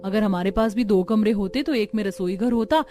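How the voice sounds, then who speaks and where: A woman's voice: mid-range voice, a little fast, warm tone, faint music behind, lightly acted. A woman voicing a young, practical village daughter-in-law, respectful and earnest, in a cartoon story. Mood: plaintive. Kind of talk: Hindi cartoon story dialogue.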